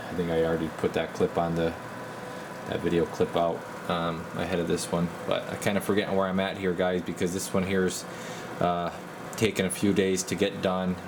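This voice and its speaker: Casting: male repair tech, deep voice, informal and rambling, recorded over clear background noise. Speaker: radio repair technician and video host. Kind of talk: casual hands-on repair walkthrough. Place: indoor repair workbench. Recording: noisy room with clear background hum.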